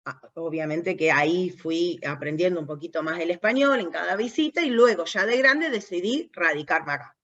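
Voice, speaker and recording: Una invitada, mujer estadounidense con acento argentino y voz algo baja, en una entrevista conversada. Habla de forma pensativa, con arranques y correcciones. La grabación es opaca, como de videollamada. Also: female voice, on the low side, normal speed, fairly bright tone, highs cut off. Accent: Argentine Spanish. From United States (New Jersey). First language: English. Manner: reflective, with frequent restarts. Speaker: guest, aviation safety specialist. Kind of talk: conversational interview.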